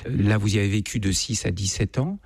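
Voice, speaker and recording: A man hosting a radio interview, asking measured questions and giving short murmurs of agreement. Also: on the low side, a little mellow, no echo.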